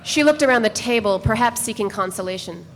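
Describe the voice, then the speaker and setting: A woman's voice: medium pitch, expressive, a little fast, crowd noise. Woman narrating in an echoey hall. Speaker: narrator reader. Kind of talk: literary narration at a live reading. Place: event space. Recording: reverberant live room, faint noise.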